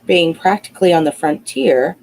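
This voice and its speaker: A woman reading a classic novel aloud as an audiobook narrator, expressive but a little halting in places. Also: fairly low pitch, creaky, rough recording. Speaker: audiobook reader.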